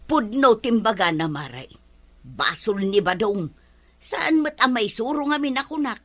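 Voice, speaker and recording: A woman's voice: a little high, sing-song, unhurried. A woman playing a discouraged village farmer in an Ilocano comedy drama, lamenting plaintively with an Ilocano accent. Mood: complaining.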